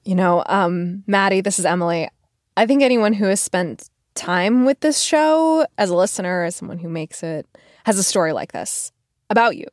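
A woman's voice: medium pitch, lively intonation, moderate pace, no room sound, clean studio sound. A woman podcast host speaking in a casual, heartfelt way. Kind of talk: casual heartfelt farewell talk.

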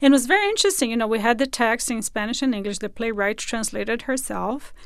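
A woman's voice: on the high side, fast, smooth, no room sound. A woman who produces radio, talking as a guest in a conversational interview.

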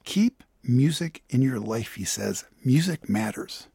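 A man with a mid-pitched, husky voice and a very animated melody presents a radio feature. He explains things emphatically and conversationally, as a reporter, in a dry, close studio sound. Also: a little slow, no echo.

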